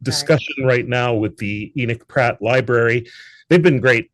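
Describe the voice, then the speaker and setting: A man talks as an interview guest in a dry recording with very little room sound. His voice sits at a middling pitch, and he speaks in an articulate, enthusiastic way, keeping things conversational. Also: moderate pace, fairly bright tone, no room sound, rough recording. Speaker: interview guest, theatre founder and producer.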